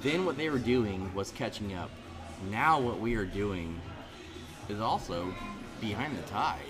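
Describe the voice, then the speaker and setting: Low-voiced rambling man in his thirties. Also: expressive, a little slow, over music. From Central California. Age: 30s. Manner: rambling, discursive. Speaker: podcast guest. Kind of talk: casual chat. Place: bar.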